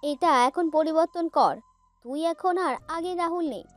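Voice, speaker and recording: A female actor as a middle-aged aunt in an audio story. She is high-voiced, protective and sharp-tongued, delivering pointed scolding over background music. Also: measured pace. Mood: fond scolding. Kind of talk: Bengali audio story acting. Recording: music bed under voice.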